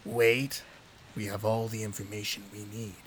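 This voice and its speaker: A man playing a gruff, terse, threatening ex-detective in an audio drama. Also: on the low side, level tone, normal speed, fairly bright tone, slight background noise, lightly acted. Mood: brisk, matter-of-fact. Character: gruff, threatening, hard-boiled male ex-detective.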